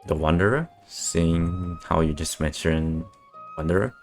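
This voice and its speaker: A man with an extremely low voice comments casually on a game he is streaming. His manner is dry and wry, and faint music plays underneath. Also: unhurried.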